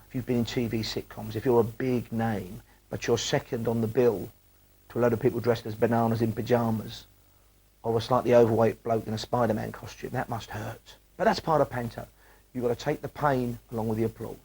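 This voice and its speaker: A man offering wry, deadpan commentary in a talking-head interview. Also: medium pitch, a little fast, slightly rough, poor audio.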